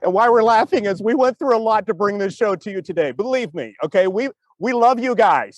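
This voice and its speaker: A man's voice: sits high, no echo. A man co-hosting a podcast with casual, rambling enthusiasm, often repeating his phrases. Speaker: podcast co-host and storytelling teacher. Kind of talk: casual chat. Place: outdoors in a cemetery.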